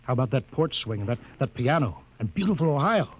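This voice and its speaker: A man's voice: medium pitch, big pitch swings, a little fast, natural voice. A man playing a stern, rational spaceship captain in 1950s radio drama style, clipped and authoritative. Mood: brisk, commanding. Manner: clipped, authoritative, barking orders. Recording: noisy old-time radio recording.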